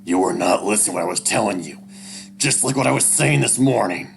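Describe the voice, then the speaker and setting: A man's voice: on the low side, expressive, quick pace, creaky, a little hiss or hum. Man voicing a stern, scolding animal father. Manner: harsh, commanding, accusatory. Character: stern, scornful animal father berating his son.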